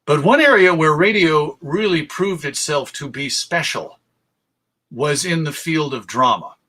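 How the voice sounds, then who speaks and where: A man's voice: on the high side, expressive, measured pace, bright, forceful tone. A man presenting an informal history talk as host, enthusiastic and emphatic as he lists examples. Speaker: presenter, radio show host.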